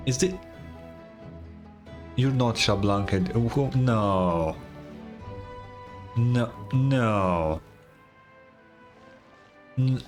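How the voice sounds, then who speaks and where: A woman streamer giving casual, blunt reaction commentary while playing a video game. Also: rumbling low. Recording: game music behind.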